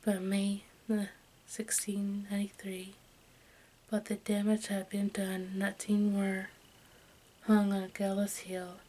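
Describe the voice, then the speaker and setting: A woman reading aloud in a breathy whisper for ASMR, haltingly and with small stumbles over the words. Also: medium pitch, rough recording. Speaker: host of an ASMR channel. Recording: steady background noise, room ambience.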